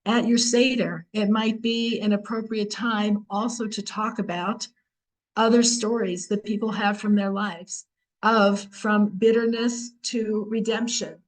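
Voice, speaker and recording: A woman's voice: mid-range voice, low-quality recording. Woman teaching torah online, earnest and reflective, voice going out on her. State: losing her voice.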